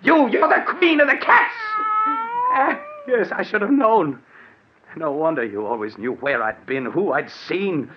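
A man's voice: on the high side, sing-song, unhurried. An actor playing a haunted, guilt-ridden man in a theatrical, intense style of mid-century radio drama. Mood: frenzied. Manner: theatrical, intense, breaking into frantic outbursts. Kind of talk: 1940s-50s radio drama acting. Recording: muffled, noisy vintage radio recording.

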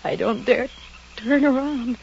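A woman's voice: fairly high pitch, lively intonation, unhurried, gravelly, a little mellow. An actress playing a terrified young woman alone at night in 1940s radio drama style, breathless and melodramatic as she talks to herself. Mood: anxious, frightened. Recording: noisy, muffled old radio recording.

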